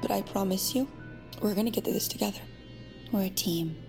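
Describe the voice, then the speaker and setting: An actress playing an anxious, tender partner, earnest and soothing but hesitant, in an audio drama. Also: female voice, mid-range voice, fairly quick, mellow, natural voice. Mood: tender worry. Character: anxious, tender female partner visiting a confined lover. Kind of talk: audio fiction acting. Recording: music under the voice.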